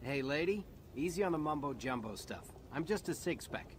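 A man's voice: fairly high pitch, lively intonation, moderate pace, a little hiss or hum, lightly acted. A man with an American accent playing a cocky human soldier in a video game, talking in a casual, slangy, sarcastic way. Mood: flippant. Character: cocky, wisecracking human soldier, reluctant hero.

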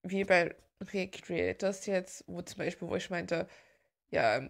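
A woman's voice: fairly low pitch, expressive, slow, creaky. A woman chatting casually and giggling as she gushes like a fan, rambling along as the host of a video. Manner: gushing, giggly.

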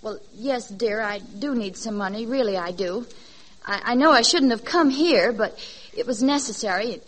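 A woman's voice: on the high side, moderate pace, lightly acted. A woman playing an exasperated, scolding mother and pleading wife in a 1940s radio comedy, theatrical and emphatic. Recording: noisy old 1940s radio recording, live audience. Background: studio audience crowd.

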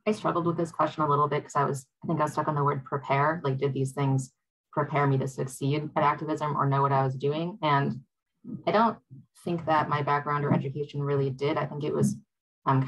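A young woman from Wisconsin speaking on a video call panel, reflective and hesitant with many pauses. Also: rumbling low, poor audio. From Wisconsin, USA. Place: home room.

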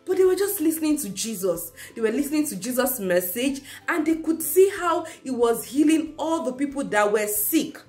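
Animated woman telling a children's Bible story. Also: high-pitched, moderate pace, few pauses, faint music behind. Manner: animated, repeats key phrases for kids. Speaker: children's church teacher. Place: indoor room at home.

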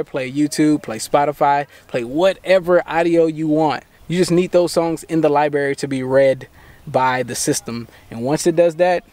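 Man in his thirties, slightly high voice, patient step-by-step tech tips, calm casual delivery, recorded inside a parked car. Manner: relaxed, patient, talks viewers step by step. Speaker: tech channel host. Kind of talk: casual how-to walkthrough.

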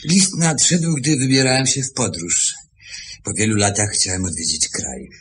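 A man acting in a radio play as a composed, formal visitor from abroad, with a measured, polite manner. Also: on the low side, big pitch swings, a bit bright. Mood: formal, reserved. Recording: noisy 1970s radio recording.

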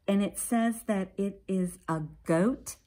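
An American woman, a middle-aged preschool teacher, reading a picture book aloud to young children and pausing to explain things simply. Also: medium pitch, warm tone. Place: indoors, classroom.

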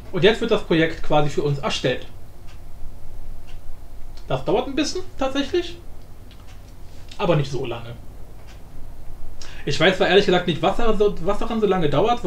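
A man giving a casual software tutorial in an informal, blunt, rambling way. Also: high voice, big pitch swings, moderate pace, long pauses. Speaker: tutorial presenter. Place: indoor room, voice-over for screen recording. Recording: roomy echo, light background noise.

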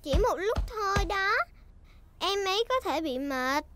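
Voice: very high voice, expressive, a little slow, background noise. A performer voicing a cheerful, bossy little girl piglet in a dubbed preschool cartoon, chirpy, eager and confident. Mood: bossy, playful. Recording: music behind the voice.

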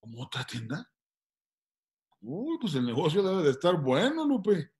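An older man with a husky voice and a Mexican accent playing a hesitant, thrifty Mexican man over a video call. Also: fairly high pitch, wildly swooping pitch, normal speed, darkish tone. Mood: sheepish, surprised. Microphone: video call from home.

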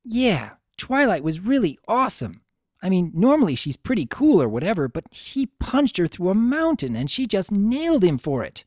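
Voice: medium pitch, very animated, normal speed, no echo, clean studio sound, voice pushed up. A narrator voicing a brash, cocky young female cartoon pony, casual and punchy. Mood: admiring.

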